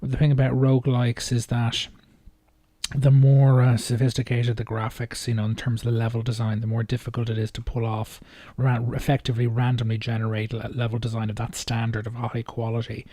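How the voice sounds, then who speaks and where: A man giving casual, off-the-cuff video commentary in a hesitant, rambling way with halting phrasing. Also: medium pitch, monotone, warm tone, no room sound, clean studio sound. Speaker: video host reacting to game trailers.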